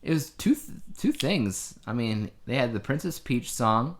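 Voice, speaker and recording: A man's voice: medium pitch, big pitch swings, measured pace. A man co-hosting a podcast, chatting casually in a rambling, opinionated way about the news. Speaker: podcast co-host.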